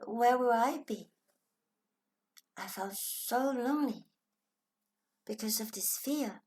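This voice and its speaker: A woman from Hong Kong with a Chinese accent, talking gently and thoughtfully as host of her own channel, sometimes dropping into a soft whisper. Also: on the high side, takes it slowly. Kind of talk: reflective personal talk.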